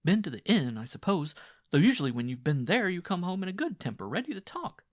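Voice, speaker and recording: A man's voice: sits high, very animated, fast, no room sound, voice pushed up. A man voicing a talkative, prying peasant wife in an audiobook story, chattering and insistent. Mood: exasperated. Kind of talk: dramatized audiobook dialogue.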